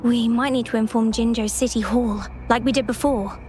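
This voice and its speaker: A woman's voice: on the high side, fairly even intonation, moderate pace, a little mellow, a little hiss or hum, close to an everyday voice. A woman voicing an earnest, polite young female researcher in a video game cutscene, explaining things carefully. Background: game music.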